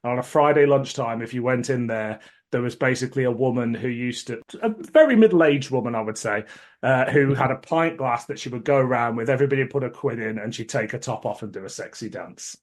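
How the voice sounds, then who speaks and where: A man's voice: mid-range voice, brisk. A British man hosting a podcast, moving between prepared notes and chat. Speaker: podcast host. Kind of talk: podcast talk, reading prepared notes and chatting.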